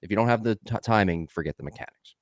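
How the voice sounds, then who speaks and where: A low-voiced man hosts a podcast in a chatty, upbeat way, often wandering off topic. His voice is recorded close and dry, with no room sound. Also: expressive, fast, dry recording. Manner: upbeat, talkative, tangent-prone. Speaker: podcast host. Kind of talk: casual podcast chat.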